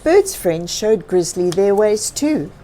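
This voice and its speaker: Slow, highly expressive woman storyteller. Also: slight background noise. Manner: dramatic, expressive emphasis. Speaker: children's read-aloud storyteller. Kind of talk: expressive picture-book narration with asides to children.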